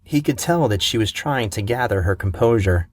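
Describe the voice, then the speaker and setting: A man narrating a fantasy novel in a measured, steady storytelling manner, recorded on a close mic. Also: lowish voice.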